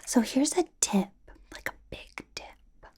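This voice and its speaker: Bubbly young female K-pop idol, played by a woman, ASMR roleplay. Whispered, mellow, casual, confiding and chatty. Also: close to an everyday voice. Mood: friendly, confiding. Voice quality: breathy, mostly whispered. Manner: rambling, casual, confiding, with drawn-out emphasis. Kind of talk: ASMR personal attention roleplay.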